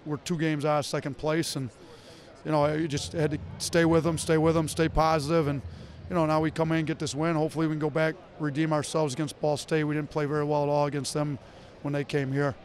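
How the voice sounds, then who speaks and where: Thirties male coach, flat and level delivery, a bit high, post-game in an arena. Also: fast talker. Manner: steady, filler-heavy speech. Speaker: head basketball coach. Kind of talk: post-game interview answers. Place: basketball arena courtside. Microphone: reporter's handheld mic.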